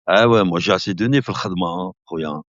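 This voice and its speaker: A man's voice: low-pitched, a little fast, no echo. A man in his fifties hosting a casual live stream, chatting to viewers and calling them brother, rambling and laughing easily. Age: 50s. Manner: laughing often, relaxed rambling. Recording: dull lo-fi dry sound.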